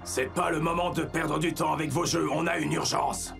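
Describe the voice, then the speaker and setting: An actor playing a gruff, blunt firefighting robot with a gravelly voice in a children's cartoon. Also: male voice, fairly high pitch, steady tone, moderate pace. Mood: gruff, impatient. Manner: blunt, impatient. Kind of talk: French-dubbed children's cartoon acting. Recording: loud music behind the voice.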